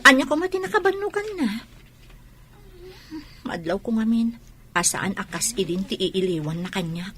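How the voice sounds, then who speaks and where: A woman's voice: medium pitch, animated, normal speed, fairly bright tone, close to an everyday voice. A woman playing a hurt, suspicious wife and patient mother in an Ilocano audio drama. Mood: troubled.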